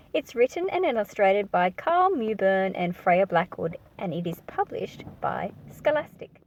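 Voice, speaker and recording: A woman reading a picture book aloud to children, expressive and engaging the listener as she goes. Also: on the high side, poor audio. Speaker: children's story reader.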